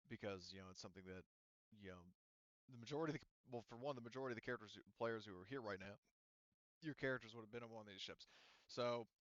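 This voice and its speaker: A man with a low, clean voice leads a tabletop game. He rambles as he works things out aloud, in casual and sometimes profane language. The sound is dry and a little muffled. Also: smooth, dry recording. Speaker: game master.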